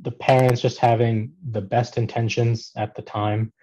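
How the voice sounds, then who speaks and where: A man from Pakistan in his thirties, a podcast guest telling his life story in a relaxed, casual way with many ums and restarts. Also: medium pitch, normal speed, dull audio. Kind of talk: casual conversational interview.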